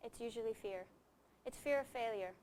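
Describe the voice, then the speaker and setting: A woman's voice: a little high, moderate pace, darkish tone, a little hiss or hum. A middle-aged woman who grew up in Japan, speaking as a coach and interview guest in an earnest, emphatic, explanatory way. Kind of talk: conversational interview answers with anecdotes.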